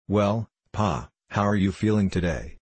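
A man's voice: on the low side, expressive, measured pace, darkish tone, husky, no echo. A man voicing a hearty young working-class daughter, plain-spoken and concerned for her father. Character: lusty, healthy young working-class daughter, anxious, devoted.

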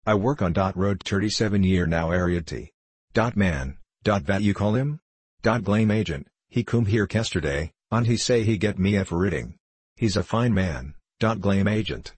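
A man's voice: deep voice, expressive, moderate pace, slightly rough, no echo. A man voicing a stubborn, ailing old German railroad worker with a growling voice, a thick German accent and broken English. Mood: plaintive. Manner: blunt, grumbling, broken English.

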